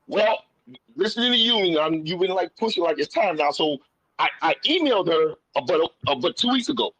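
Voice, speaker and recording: A man telling a personal story casually as a guest, animated and folksy, repeating phrases and acting out conversations. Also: high-pitched, brisk, breathy edge, dry recording, low-quality recording.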